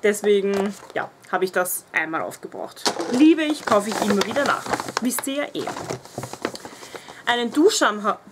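A woman's voice: medium pitch, expressive, normal speed, background noise. A woman in her twenties with a Viennese accent, chatting casually and gushing about used-up beauty products, laughing often, in a small room at home. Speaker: beauty vlogger. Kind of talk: casual empties review.

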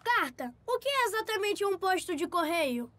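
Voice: very high-pitched, sing-song, moderate pace, a little hiss or hum, heavily acted. A performer with a Brazilian accent voicing a cheerful, polite little boy in a children's cartoon dub. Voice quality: bright, childlike. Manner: eager, courteous.

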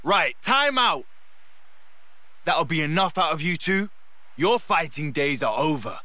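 An actor playing a laid-back, cheerful young British fighter in a video game cutscene, speaking in a breezy, colloquial, reassuring way. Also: male voice, a little high, sing-song, measured pace, pushed and bright, exaggerated voice. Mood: firm, reassuring.

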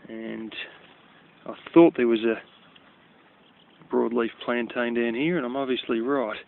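A man's voice: mid-range voice, fairly even intonation, a little slow, vocal fry, slight background noise. A man with an Australian accent, casually rambling as he walks outdoors by a river, blunt and chatty with offhand swearing. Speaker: vlogger. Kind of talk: casual walking commentary. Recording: tinny phone-like outdoor recording.

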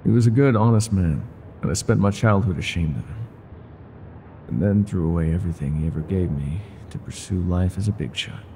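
Man acting deep, gravelly, world-weary ex-gangster. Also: warm tone, slight background noise. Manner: weary, wry, confiding. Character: world-weary older ex-mafia bartender, gruff, self-deprecating, secretly tender. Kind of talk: intimate ASMR roleplay monologue.

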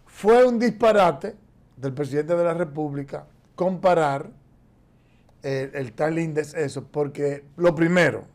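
Man, 50s, emphatic, raised pitch, TV studio, lapel mic. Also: unhurried, darkish tone. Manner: emphatic, gestures constantly while explaining. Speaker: economist commentator. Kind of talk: political commentary on a TV news show. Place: TV studio, seated in chair.

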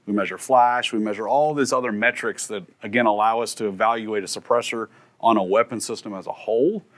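A man's voice: lowish voice, steady tone, brisk, a bit bright. A man in his thirties giving a casual, detailed technical explanation as a factory tour guide, in a matter-of-fact way. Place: indoors, sound-testing room and factory floor.

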